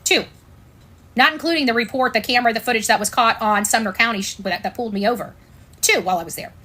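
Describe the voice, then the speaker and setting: A woman's voice: fairly high pitch, fast talker, pushed and bright. An American woman giving informal, opinionated livestream commentary in a blunt, sarcastic way, repeating phrases for emphasis. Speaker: online commentator and show host.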